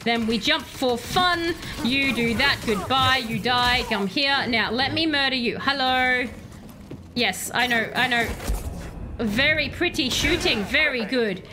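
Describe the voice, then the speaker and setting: A woman streaming a game live, chatty and excitable, answering her chat, her voice slightly hoarse. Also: sits high, unhurried, over music. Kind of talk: live gameplay commentary.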